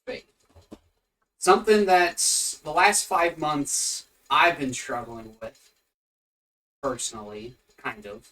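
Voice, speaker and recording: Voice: medium pitch, slow pace, long pauses, fairly bright tone, distant mic, poor audio. A co-host of a casual home faith podcast, rambling and teasing, tired and yawning. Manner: rambling, teasing, easily sidetracked. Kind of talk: casual religious chat podcast. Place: home room with pets.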